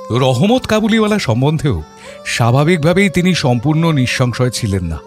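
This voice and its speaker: A man narrating an audio story as a thoughtful father, in a measured, literary storytelling manner. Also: mid-range voice, close to an everyday voice. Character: thoughtful middle-class father telling his story.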